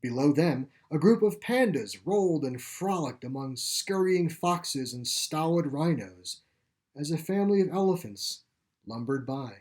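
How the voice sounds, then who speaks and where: A man narrating his own short story in a deliberate, literary storytelling manner. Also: on the high side, expressive, a little mellow.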